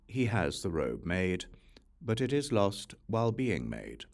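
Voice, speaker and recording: Low-pitched man reading scripture aloud. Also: very animated, measured pace, creaky. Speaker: audiobook reader.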